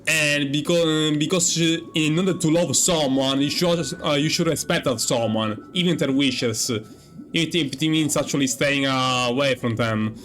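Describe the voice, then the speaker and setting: Halting, musing male gamer. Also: fairly high pitch, a bit bright. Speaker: gaming streamer. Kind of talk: casual playthrough commentary. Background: game music.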